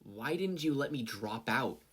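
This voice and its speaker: Man playing a surly, husky, hot-headed teenage boy. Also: mid-range voice, expressive, unhurried, fairly bright tone, voice pushed up. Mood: irritated.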